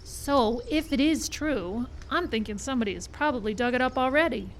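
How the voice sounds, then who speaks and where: A woman plays a level-headed skeptic on a film crew in a radio drama. Her high voice is dry and reasoning, over faint noise in a live recording. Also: brisk, close to an everyday voice. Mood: skeptical, patient. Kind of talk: live radio play acting. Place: live radio show in a park.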